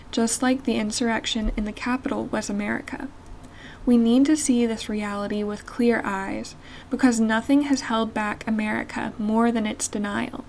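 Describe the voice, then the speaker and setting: Woman, higher voice, earnest essay reader, slight background hum. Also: darkish tone. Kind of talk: reading an essay aloud.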